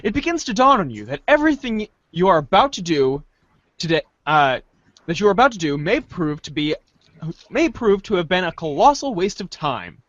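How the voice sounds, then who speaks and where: Animated, playful reader, slightly muffled. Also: mid-range voice, normal speed, no echo. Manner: playful, theatrical page-change calls. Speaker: podcast host and reader. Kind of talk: dramatic group reading of a webcomic script.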